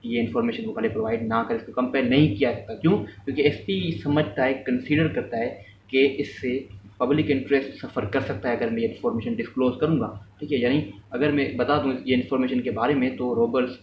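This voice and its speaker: An echoey, slightly distant recording of a man with a medium-pitched voice teaching a class. He explains informally, step by step, repeating and rephrasing his points. English terms are mixed into his speech throughout. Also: brisk. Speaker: law lecturer. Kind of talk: informal explanatory law lesson with hypothetical examples. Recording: echoey room, distant mic, muffled, faint noise.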